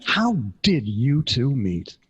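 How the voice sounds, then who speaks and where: An actor playing a polished 1960s radio interview host, deliberate and showmanlike, in an audio drama. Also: male voice, medium pitch, huge pitch swings, noisy background. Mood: polished, expectant.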